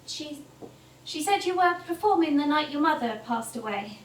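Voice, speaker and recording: An actress playing a polite, eager documentary researcher on stage, speaking hesitantly and naturally. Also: female voice, high voice, animated, normal speed. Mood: careful, probing. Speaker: documentary interviewer. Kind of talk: naturalistic theatre acting. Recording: roomy stage, distant mic, background noise.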